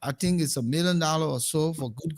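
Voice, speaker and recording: A man's voice: fairly high pitch, brisk. A Liberian man with a Liberian accent hosting a current affairs talk show, speaking emphatically and repeating words as he builds his points.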